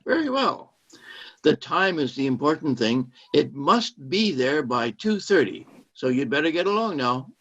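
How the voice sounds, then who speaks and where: An actor in a radio play playing a controlling, secretive saboteur who poses as a kindly cinema owner and gives insistent orders. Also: male voice, fairly high pitch, big pitch swings, unhurried, a bit bright, exaggerated voice. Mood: insistent, urging. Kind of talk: British-set radio play acting. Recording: dull lo-fi streamed audio.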